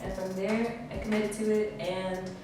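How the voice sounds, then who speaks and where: A woman's voice: mid-range voice, moderate pace. Laid-back young woman in an echoey room. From DC area, US. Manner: relaxed, slangy, many "like" fillers. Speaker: guest, DJ and stylist. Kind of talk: casual podcast interview. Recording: echoey room, distant mic, faint hiss.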